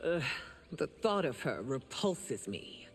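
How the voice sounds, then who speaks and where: An actress voicing a proud, haughty warrior empress in a fighting game, imperious and measured. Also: female voice, low voice, big pitch swings, big-room sound, faint music behind, exaggerated voice.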